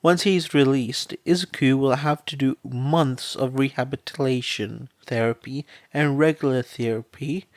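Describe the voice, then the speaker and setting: A man doing a gentle, weary nurse mother. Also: mid-range voice, normal speed, few pauses, no echo, pitched above the performer's own voice, natural voice. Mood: worried. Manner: soft, stammering, clinical.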